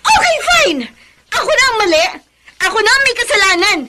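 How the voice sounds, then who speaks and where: A woman's voice: very high-pitched, big pitch swings, fairly quick, noisy background. A woman playing a betrayed, heartbroken young woman in an emotional Filipino radio drama, with a Filipino accent. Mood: outraged, hurt. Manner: emotional, melodramatic. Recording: music bed under the voice.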